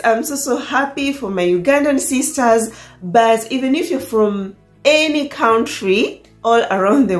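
A woman in her thirties hosts a friendly vlog from her living room, laughing often and calling her viewers "guys." Her voice sits a little high and bounces around the room, with soft music playing underneath. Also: reverberant. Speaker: channel host. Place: indoors, small living room.

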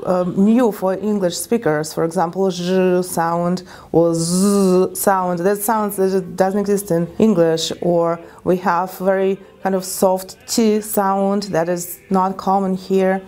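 A middle-aged woman with a Russian accent, a native Russian speaker, speaking as a diction coach in a documentary interview with a lapel mic, thoughtful and unhurried with small grammar slips. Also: mid-range voice, darkish tone.

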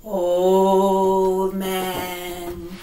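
A woman voicing the ghost of a dead woman, calling out in a slow, drawn-out, eerie way. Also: medium pitch, monotone, dark tone, roomy sound, distant mic, a little hiss or hum, voice pushed down.

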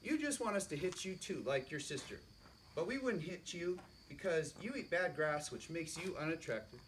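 Man doing a jeering young bull elephant, high raised voice, storybook bully. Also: lively intonation, moderate pace, fairly bright tone, a little hiss or hum. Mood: taunting. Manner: taunting, jeering. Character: teenage male elephant bullies, mocking. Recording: distant mic, faint crickets.

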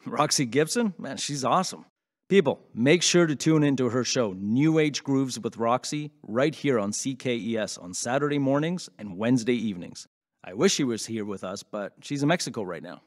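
An actor playing an upbeat, boyish radio show host who chats informally and with enthusiasm in an audio drama. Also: male voice, medium pitch, animated, normal speed, close to an everyday voice. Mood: teasing, upbeat. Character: upbeat male late-night radio host, chatty and boyish.

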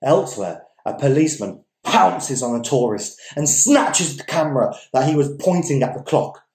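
A young man gives a theatrical, emphatic reading of literary fiction, with deliberate pauses. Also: medium pitch, big pitch swings, a little mellow. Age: young adult. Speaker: story reader. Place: indoors, small domestic dining room. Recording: echoey room.

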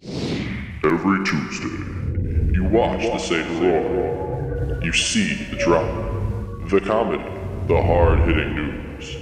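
A man narrating like a dramatic trailer, portentous and pausing between phrases. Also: low voice. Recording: cavernous reverb with music.

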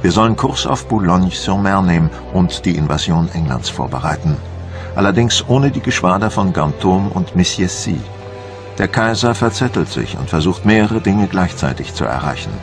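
Deep voiced brooding french admiral, formal and measured, audio drama w music behind. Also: male voice, expressive. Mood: resolute. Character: wary French admiral of the Napoleonic wars, brooding, proud. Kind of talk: first-person dramatised documentary narration.

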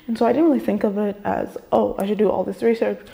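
A woman's voice: medium pitch, fairly even intonation, fast talker, vocal fry, warm tone. A young woman rambling casually to her camera in a story-time vlog, with many fillers and restarts, her voice a little off after a shot. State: slightly off voice after a vaccine shot. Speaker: vlogger. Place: bedroom gaming setup, indoors.

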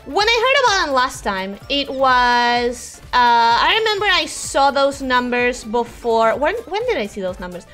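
A woman whose first language is Spanish streams a game in a very high-pitched voice. Her delivery is animated, swinging widely in pitch. She chats casually and expressively with her viewers, with music playing behind her. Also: unhurried, hardly any pauses. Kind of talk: casual livestream chat over gameplay.

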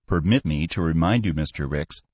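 A man's voice: rumbling low, moderate pace, darkish tone, no echo, pitched below the performer's own voice. A man voicing a cool, formal business executive in an audiobook, cold and clipped.

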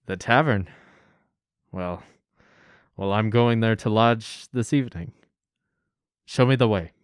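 A man playing a gentle, courteous stranger in a radio drama, speaking in a measured way. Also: lowish voice, dry recording, clean studio sound. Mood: kindly. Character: gentle, kindly male stranger, a traveler.

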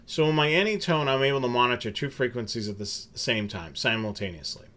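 Middle-aged american guy, mid-pitched voice, chatting to his viewers, practical step by step explaining. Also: quick pace, sharp tone. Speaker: host of a railfan show. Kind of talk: casual explanatory vlog talk to viewers. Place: small home office with bookshelves and curtains, indoors.

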